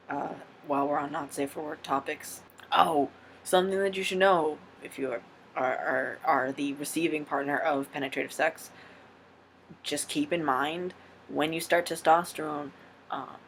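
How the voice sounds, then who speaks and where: A man's voice: fairly high pitch, gravelly, a bit bright, a little hiss or hum. Frank, rambling young man vlogging. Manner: frank, rambling, swears freely. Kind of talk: casual vlog Q&A.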